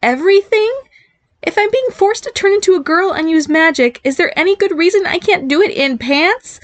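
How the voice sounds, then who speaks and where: A woman voicing an indignant, sarcastic boy who has been turned into a girl fairy, with a squeaky girlish voice, in an audiobook. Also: expressive, normal speed. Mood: sarcastic, exasperated. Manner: indignant, pleading protests. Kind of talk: audiobook character acting.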